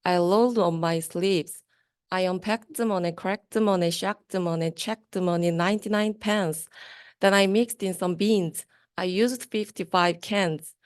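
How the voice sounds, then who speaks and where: Smooth-voiced young woman reading rhymes aloud slowly. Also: lowish voice, no echo, studio quality. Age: young adult. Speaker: student reader. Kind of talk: reading a rhyming children's book aloud.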